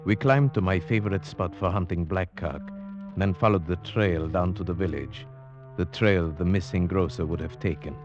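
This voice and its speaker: A man playing a cultured, brooding huntsman who narrates gravely and formally in an old radio drama. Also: deep voice, muffled recording. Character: cultured Slavic huntsman, brooding, at home in forests. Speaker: narrator and character. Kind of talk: 1940s-50s radio drama acting.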